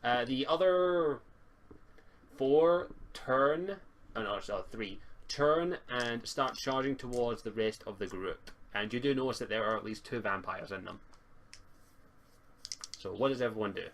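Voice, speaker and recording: A man's voice: medium pitch, unhurried, fairly bright tone. A man acting as game master, narrating a tabletop roleplaying game in an informal, improvised way. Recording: noisy home mic with clicks.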